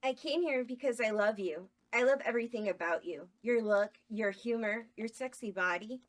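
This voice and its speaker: A woman's voice: a little high, moderate pace, close to an everyday voice. A woman voicing a gushing, nervous young superfan at a script table read, eager and pleading.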